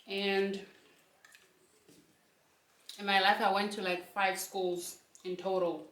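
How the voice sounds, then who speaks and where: Young woman rambling to camera while eating, slow easy talker, sounds a bit far from the mic. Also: medium pitch, little pitch movement, a bit bright. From South Africa. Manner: chatty, talks to the camera between bites. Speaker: channel host. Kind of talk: casual chat while eating. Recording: echoey room, mic at a distance.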